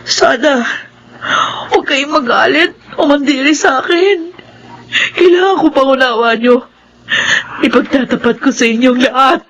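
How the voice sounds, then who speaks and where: A young Filipino woman weighed down by guilt and haunting. Her voice is high and theatrical, in the manner of radio horror drama, over a slightly noisy track. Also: a little slow, a bit bright. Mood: guilty, tearful. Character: guilt-ridden, haunted grown daughter who embalms corpses.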